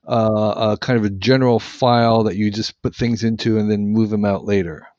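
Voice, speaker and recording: Halting male co-host, dry muffled sound. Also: lowish voice, fairly even intonation, darkish tone, no room sound. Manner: hesitant, halting phrasing with repeated words. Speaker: podcast co-host. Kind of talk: casual interview chat.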